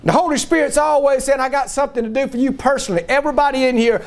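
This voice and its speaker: A middle-aged man preaching a fervent, folksy church sermon, exhorting his listeners emphatically and breaking into shouts. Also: extremely high pitch, rapid-fire.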